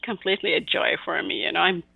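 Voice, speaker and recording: A woman's voice: fairly low pitch, vocal fry, breathy edge, dry recording. A woman from Iran, a guest illustrator in a casual interview, talking with many fillers and little laughs. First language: Persian. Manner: warm, giggly, halting with many fillers. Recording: tinny phone-like line.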